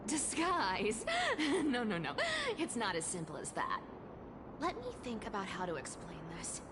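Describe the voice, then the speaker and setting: A sly, teasing game trickster. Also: female voice, sing-song, a little slow, noisy background. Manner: teasing, playful, with sudden pitch jumps. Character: playful mischievous female trickster, teasing and sly.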